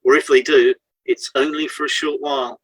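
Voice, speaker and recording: A man's voice: mid-range voice, flat delivery, moderate pace, a bit bright, no room sound, poor audio. A man reading a scripted educational piece aloud as a podcast co-host.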